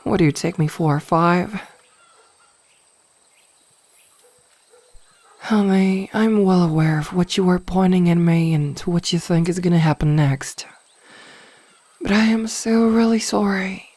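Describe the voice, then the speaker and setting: A woman playing a possessive, teasing mage in an ASMR fantasy roleplay, speaking softly and coaxingly with condescending endearments. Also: lowish voice, normal speed, dry recording, natural voice. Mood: amused, condescending. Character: possessive, teasing female mage hypnotizing a burglar.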